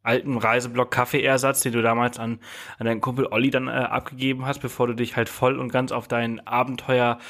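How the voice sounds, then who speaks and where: A man's voice: mid-range voice, flat delivery, fairly quick, fairly bright tone. A man hosting a podcast, chatting casually with his guest, with many fillers and restarts. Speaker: podcast host. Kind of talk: casual interview chat.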